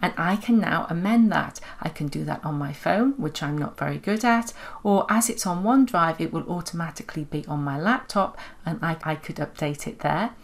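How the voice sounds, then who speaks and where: A woman narrating an explanatory step-by-step software tutorial as its presenter. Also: low voice, moderate pace, gravelly.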